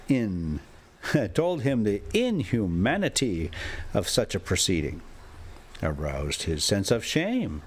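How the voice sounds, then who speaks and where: A man hosting a travel vlog from inside a motorhome, rambling casually and reading a story aloud in a halting, folksy way. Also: on the low side, creaky, breathy edge. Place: inside a motorhome while driving.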